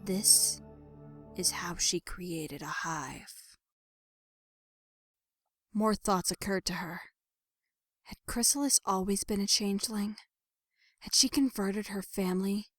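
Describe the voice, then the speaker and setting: A woman reading a scripted dramatic story as narrator, measured and expressive in her delivery. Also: mid-range voice, dry recording, clean studio sound, soft background music.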